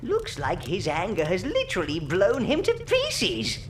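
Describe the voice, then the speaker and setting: An actor playing a wizened, fawning gremlin minion who advises an evil master in a theatrical fantasy game, savouring each wicked word. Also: male voice, sits high, wildly swooping pitch, heavy background noise, exaggerated voice. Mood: sly, advisory. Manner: obsequious, drawn-out, relishing delivery.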